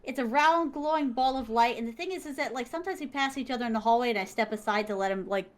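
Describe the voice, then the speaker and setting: A woman guest chatting casually over a video call, animated and quick to laugh, acting out voices as she tells her stories. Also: fairly high pitch. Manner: laughs often, fillers like "like". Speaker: interview guest, content creator. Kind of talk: casual chat, storytelling.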